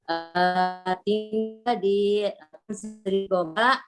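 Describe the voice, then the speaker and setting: A retired woman in her sixties from Malaysia with a Malaysian Malay accent, introducing herself on a video call in a halting way, full of fillers. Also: mid-range voice, steady tone, faint music behind. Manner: slow, halting, many fillers. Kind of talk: online group video call.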